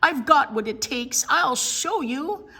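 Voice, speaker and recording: A woman's voice: high-pitched, expressive, slow, sharp tone, pitched above the performer's own voice, heavily acted. A woman voicing a determined young schoolgirl who plays at being a fairy princess, boastful and insistent, in a storybook reading. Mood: defiant.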